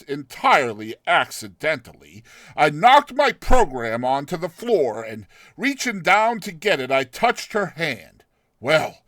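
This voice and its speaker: A man's voice: on the high side, fairly bright tone, dry recording. A man voicing a lovestruck, impatient young man in a dramatized short story, with an effusive, emphatic delivery.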